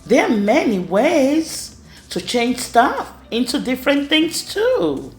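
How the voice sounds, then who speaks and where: A woman reading a rhyming children's storybook aloud in an expressive way. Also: sits high, takes it slowly. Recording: roomy echo with music underneath. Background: music.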